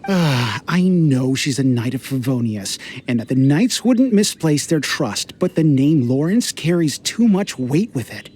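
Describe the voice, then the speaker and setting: A resentful, defiant townsfolk worker. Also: male voice, a little high, warm tone, a little hiss or hum. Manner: exasperated, confrontational.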